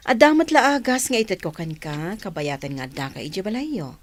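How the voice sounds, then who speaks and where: A woman's voice: on the low side, sing-song, moderate pace, slight background noise. A woman playing a caring, firm and teasing doctor in an Ilocano comedy radio drama, with an Ilocano accent.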